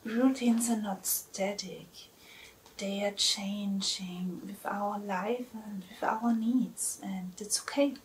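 A woman's voice: mid-range voice, fairly even intonation, takes it slowly, slight background noise, rough recording. A woman in her thirties, a native German speaker, talking hesitantly in a home vlog with fillers and careful phrasing. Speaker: vlogger. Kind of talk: first-time talking vlog. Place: bright indoor room at home. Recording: music bed under the voice.

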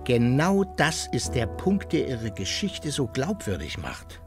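Lively, logical professor. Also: male voice, medium pitch, music in the background. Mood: reasoning. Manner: deliberate, rhetorical. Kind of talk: German audio drama acting.

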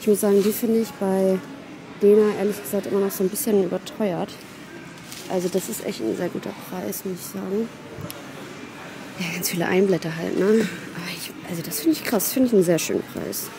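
A young woman vlogging casually and excitedly while browsing plants in a large garden center, sometimes dropping to a whisper. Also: medium pitch, a little fast, background noise. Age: young adult. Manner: excitable, chatty, often whispering asides. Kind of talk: casual shop-with-me vlog. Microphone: handheld phone camera.

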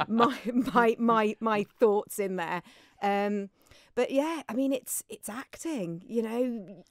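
A woman's voice: mid-range voice, no echo. A woman in her thirties chatting casually as a guest in a radio interview, on a studio microphone. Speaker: guest, actor.

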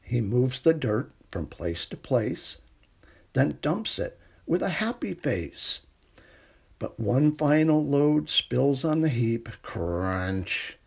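Man, storytime reader, gentle, slow-paced, raspy, children's bedtime book. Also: medium pitch, very animated. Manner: gentle, unhurried bedtime delivery with hushed refrains. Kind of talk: reading a children's picture book aloud.

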